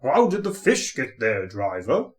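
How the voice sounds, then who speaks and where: A man voicing a pompous, good-humoured railway controller who exclaims and gently scolds in a storybook. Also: mid-range voice, wildly swooping pitch, unhurried. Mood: astonished.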